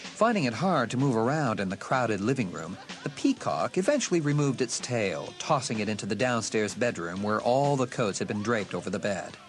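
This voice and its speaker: A man's voice: on the low side, sing-song, normal speed. Man narrating a horror story over music. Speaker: audiobook narrator. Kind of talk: dramatised scripted horror narration.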